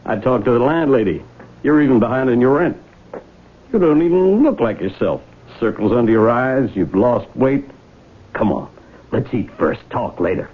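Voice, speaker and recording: An actor playing a persistent young country suitor in a radio drama, coaxing and intimate. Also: male voice, medium pitch, animated, normal speed. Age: young adult. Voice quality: husky. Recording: tinny vintage radio broadcast.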